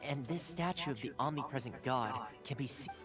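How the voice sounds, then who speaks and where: A man's voice: medium pitch, normal speed, lightly acted. A man voicing a playful, courteous young retainer in a video game, articulate and politely teasing. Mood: earnest. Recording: game music behind.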